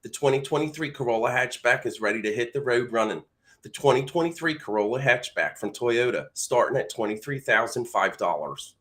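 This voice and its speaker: A man's voice: medium pitch, animated, a little fast, a bit bright, natural voice. Philadelphia-accented male car-ad announcer, acted by a man, straightforward sales read. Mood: upbeat sales. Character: male Philadelphia local pitching a car commercial. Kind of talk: commercial voice-over read.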